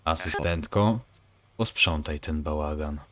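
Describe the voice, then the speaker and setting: A man playing a cold, domineering scientist mentor in an animated fan dub, speaking in clipped, contemptuous commands. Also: a bass voice, measured pace. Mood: contemptuous, commanding. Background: music.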